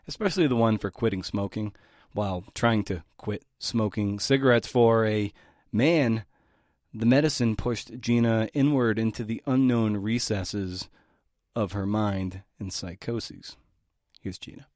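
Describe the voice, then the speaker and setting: A man's voice: on the low side, measured pace, a little mellow, dry recording, clean studio sound. A man hosting a podcast, introducing essayists and their work with informal, playful asides. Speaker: podcast host.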